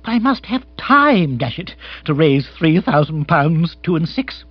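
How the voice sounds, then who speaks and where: A man's voice: on the high side, big pitch swings, measured pace, slightly rough, no echo, highs cut off, voice pushed up, exaggerated voice. A man voicing an agitated, petulant young English lord in a comic storybook, flustered and exclamatory in upper-class slang. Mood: indignant, flustered.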